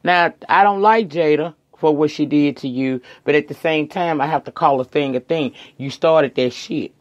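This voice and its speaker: A middle-aged woman, hoarse tonight, chatting casually and sassily to viewers as she reviews a reality show. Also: sits low, moderate pace, muffled recording. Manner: chatty, sassy, addresses viewers as girl and y'all.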